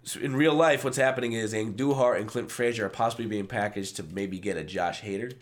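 A young man in his late twenties with a slightly low voice co-hosts a sports podcast. His delivery is loose and rambling, full of jokes. He talks casually with his co-host. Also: brisk. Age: 20s. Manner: joking, rambling.

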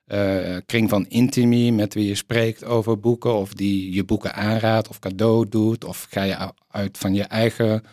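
A man's voice: on the low side, flat delivery, moderate pace, hardly any pauses, a little mellow, no room sound, clean studio sound. A man hosting a conversational interview, thinking aloud as he puts exploratory questions. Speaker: podcast host, interviewer.

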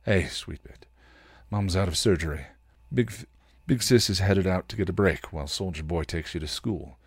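A man's voice: low-pitched, normal speed, breathy edge, no room sound, clean studio sound. A man voicing a cocky, protective male vampire in a story reading, slangy and offhand. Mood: gentle.

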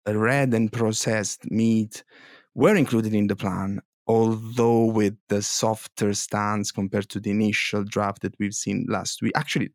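A man with an Italian accent, co-hosting a news podcast in a halting way, with many restarts and fillers. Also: on the low side, level tone, no echo, studio quality. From Italy. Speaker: podcast co-host. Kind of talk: casual policy news chat.

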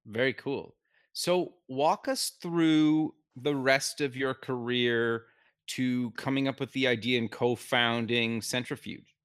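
A man's voice: mid-range voice, steady tone, takes it slowly, fairly bright tone, clean tone. A man hosting an interview, casual and friendly, often answering with short stock replies. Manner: friendly, repeats short affirmations. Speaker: podcast host.

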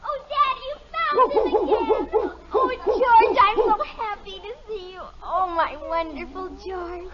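A female performer playing an excitable, adoring little daughter in a 1950s radio comedy. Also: extremely high pitch, measured pace, hardly any pauses. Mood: delighted. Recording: very noisy, muffled old radio recording.

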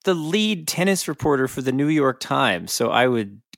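A man's voice: medium pitch, very animated, normal speed, creaky, a bit bright, dry recording, studio quality. A man co-hosting a podcast in casual sports discussion, talking in a conversational, argumentative way. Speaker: podcast co-host.

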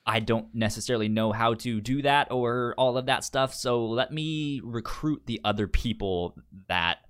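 Halting man in casual film chat. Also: medium pitch, a bit bright, smooth. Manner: halting, repeats words and syllables. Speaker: podcast co-host.

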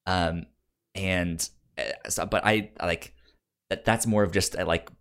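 A man in a casual film debate as a co-host, talking haltingly and often stammering and repeating words. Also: deep voice, steady tone, a bit bright, clean tone.

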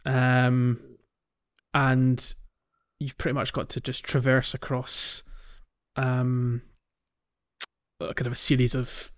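A man's voice: medium pitch, completely flat delivery, slow, pauses often, highs cut off. A man hosting a casual video game podcast, explaining things in a hesitant, rambling way. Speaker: podcast host.

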